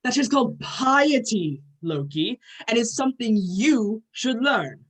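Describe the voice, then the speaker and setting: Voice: medium pitch, animated, a little slow, rough recording. An amateur actor playing a grand, short-tempered male thunder god with a formal, pompous manner in a myth play reading. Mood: lecturing.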